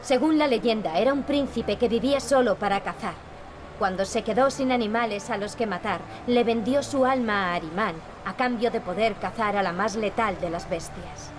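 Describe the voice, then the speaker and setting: An actress playing a solemn, sharp-tongued young heroine in a video game. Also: female voice, medium pitch, moderate pace, background noise, natural voice. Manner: solemn, dry. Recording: game music behind the voice. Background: game music.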